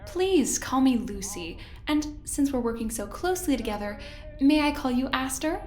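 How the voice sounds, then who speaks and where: Sweetly menacing, high-voiced lady corporate scientist. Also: animated, normal speed, darkish tone, roomy sound, faint music behind. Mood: coy, falsely friendly. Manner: falsely sweet, condescending, theatrically polite. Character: smug, sweetly menacing corporate research director, a woman doctor. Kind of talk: audio drama acting.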